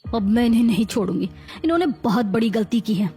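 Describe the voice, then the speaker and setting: Woman doing a high voiced bossy protective sister in law, scolding, melodramatic cartoon story, bit of music behind. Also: animated, fast talker, warm tone, natural voice. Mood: indignant. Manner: scolding, emphatic, declarative. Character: fierce, protective elder sister-in-law, proud businesswoman. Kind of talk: melodramatic animated story dialogue.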